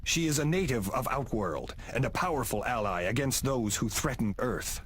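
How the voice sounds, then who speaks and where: An actor voicing a wise, stern male god who mentors warriors in a video game, speaking in grave, measured commands. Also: mid-range voice, lively intonation, natural voice. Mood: solemn. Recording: game audio with loud music and noise.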